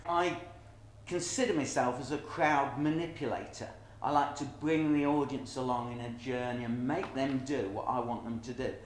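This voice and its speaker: A man's voice: mid-range voice, normal speed. A middle-aged man telling stories emphatically in a casual interview about his stage work. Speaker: pantomime dame performer. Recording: echoey room.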